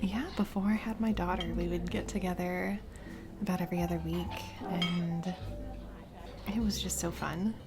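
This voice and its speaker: A woman playing a warm single mom on a first date in a romantic audio roleplay, speaking hesitantly and encouragingly with soft giggles. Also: lowish voice, steady tone, normal speed, close to an everyday voice. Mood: shyly playful. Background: background music, restaurant date ambiance.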